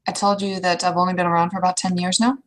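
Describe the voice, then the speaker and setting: A woman's voice: fairly low pitch, little pitch movement, racing, a little hiss or hum, natural voice. A woman playing an opinionated, experienced troll mentor in an improvised roleplay, speaking bluntly and informally as she advises. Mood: blunt, cautioning. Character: opinionated, world-wary elder troll mentor, advising a newcomer. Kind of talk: improvised in-character roleplay dialogue.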